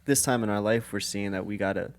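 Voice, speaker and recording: A man's voice: on the low side, quick pace. A young man co-hosting a podcast, talking in an earnest, rambling, slangy way. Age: young adult. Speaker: podcast co-host. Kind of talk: casual chat.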